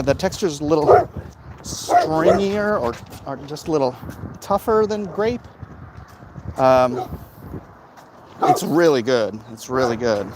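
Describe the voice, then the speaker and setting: A man chatting casually and jokily as he hosts a livestream while walking outdoors. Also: medium pitch. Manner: chatty, jokey, reading out viewer comments. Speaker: livestream host. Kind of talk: casual walk-and-talk vlog chat. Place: outdoors, walking through a neighbourhood. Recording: noisy outdoor recording, clicks and handling.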